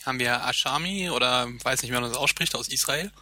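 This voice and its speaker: A man co-hosting a casual podcast, talking in a very bright, clear tone at an ordinary pitch. He rambles a little, fills pauses with hesitations and laughs easily. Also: dry recording. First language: German. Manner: chatty, hesitant with many fillers, quick laughs. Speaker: podcast co-host. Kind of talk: casual chat.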